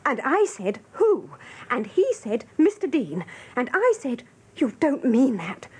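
High-pitched, gushing English office typist. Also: female voice, very animated, takes it slowly, breathy edge, heavily acted. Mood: excited, shocked. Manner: breathless, gushing storytelling. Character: chatty, excitable female office typist who loves gossip. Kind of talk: radio drama acting.

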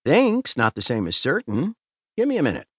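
A man's voice: medium pitch, huge pitch swings, moderate pace, fairly bright tone, slightly rough, dry recording, studio quality, pitched above the performer's own voice, heavily acted. A man voicing a crude, cocky older male convict who drawls and teases in an audiobook. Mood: sly. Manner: drawling, teasing and sly. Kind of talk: audiobook character voice.

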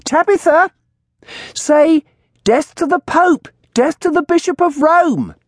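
Old tavern beldam: piercingly high, brash, haranguing, for a historical audiobook. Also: takes it slowly, dry recording, clean studio sound. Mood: commanding. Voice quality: shrill. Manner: bold, haranguing street-seller's shouting. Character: fat, shrill old tavern woman hawking a talking bird.

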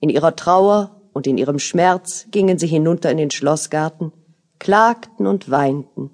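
A woman's voice: low voice, normal speed, a little mellow. A woman reading scripted fairy-tale narration as the storyteller of an audio play.

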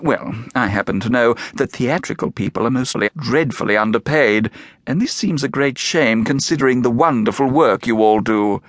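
A man reading an audiobook, voicing a scheming gentleman in a fez who talks in an oily, flattering way. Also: mid-range voice, no room sound, studio quality, lightly acted. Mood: ingratiating. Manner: oily, flattering, slow and deliberate.

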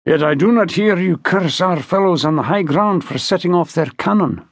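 A man's voice: sits high, animated, normal speed, dry recording. A male narrator acts an army major in a historical audiobook. The officer is composed, protective and wry, speaking in a very dry, close sound. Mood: dry reproach. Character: calm Scottish infantry major, protective and wry.

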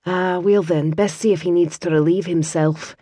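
Brief: woman narrator doing a soft-spoken, sultry Scottish healer lass, gently teasing, hushed and low, storybook read. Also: fairly even intonation, normal speed, no echo, natural voice. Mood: wry.

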